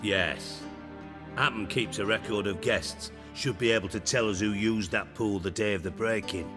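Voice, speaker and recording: A sarcastic, imperious, middle-aged spymaster in a video game, voiced by an actor. He speaks in a fairly low voice and a crude, commanding manner. Game music plays clearly behind him. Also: normal speed, big-room sound, lightly acted. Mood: sardonic. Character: sardonic, bossy male spymaster, middle-aged.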